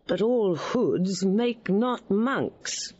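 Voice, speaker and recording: A woman's voice: medium pitch, animated, very slow, a little mellow, lo-fi sound. A woman playing a proud, wary, wronged queen in a Shakespearean audio drama, speaking formally and emphatically. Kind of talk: Shakespearean radio drama acting.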